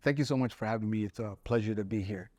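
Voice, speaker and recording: A man's voice: lowish voice, fast talker, a little mellow, no echo, studio quality. A man in his forties from Somalia, raised in Italy and Canada, talking reflectively as an interview guest. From Somali-born, raised in Italy and Canada. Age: 40s. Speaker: podcast guest, spoken-word poet.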